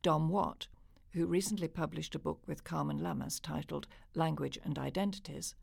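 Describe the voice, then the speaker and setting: A woman narrates a documentary from a script. Her voice is low and heavily creaky with a husky edge, recorded close and dry. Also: fairly even intonation, moderate pace, a little mellow, no echo.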